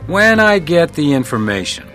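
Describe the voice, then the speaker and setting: A man's voice: on the high side, big pitch swings, normal speed, fairly bright tone, soft background music. A man playing a composed, probing hotel house detective in a radio drama, with a dry, laconic manner. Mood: dry, coaxing.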